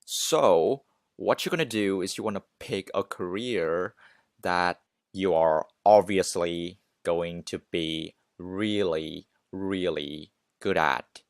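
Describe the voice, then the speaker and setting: A man's voice: low voice, takes it slowly, no room sound, studio quality. A man hosting a podcast, giving informal, conversational advice straight to listeners with emphatic repetition. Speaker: podcast host. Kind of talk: casual advice monologue to listeners.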